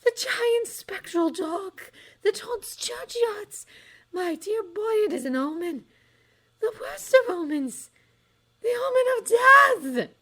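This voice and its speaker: A woman voicing a dramatic, eccentric older female seer with a slow, breathy, mystical delivery. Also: very high-pitched, animated, sharp tone, pitched above the performer's own voice, heavily acted.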